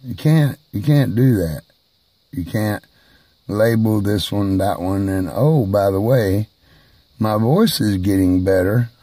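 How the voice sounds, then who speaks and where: A man speaking slowly and haltingly in a personal video monologue, who is hard of hearing and raises his voice at times. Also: on the low side, expressive, mellow, no echo. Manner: slow, halting, emphatic delivery.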